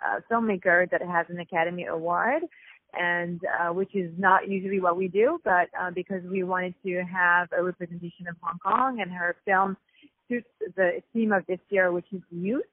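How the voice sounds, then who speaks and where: A woman from Paris with a French accent, a festival founder explaining things in a measured way over the phone. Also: fairly low pitch, fairly even intonation, dry recording. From Paris, France. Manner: measured, explanatory, many fillers. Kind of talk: phone interview. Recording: tinny phone line, dry.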